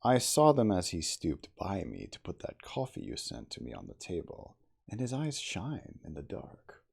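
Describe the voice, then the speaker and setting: A man voicing an earnest, uneasy, inquisitive gentleman of science in a storybook, speaking formally and emphatically. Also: deep voice, huge pitch swings, moderate pace, vocal fry, husky, exaggerated voice. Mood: insistent. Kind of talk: storybook character dialogue.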